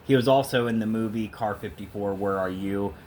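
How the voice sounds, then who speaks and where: A man's voice: fairly low pitch, fairly quick, darkish tone, a little hiss or hum. A man talking casually and emphatically to the camera as an opinionated music fan, in a rambling vlog style. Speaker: vlogger showing a thrift store music haul.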